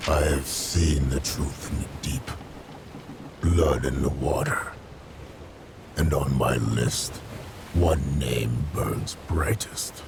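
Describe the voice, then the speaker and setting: A man voicing a menacing undead killer from the deep sea in a video game, speaking in slow, terse, ominous phrases with a gravelly voice. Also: exaggerated voice. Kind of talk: video game character acting. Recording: loud background noise and ambience.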